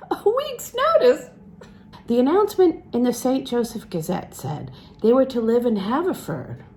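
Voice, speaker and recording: A woman reads a literary novel aloud in an expressive storytelling style, with dramatic phrasing that can soften into hushed tones. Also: a little high. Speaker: audiobook-style reader.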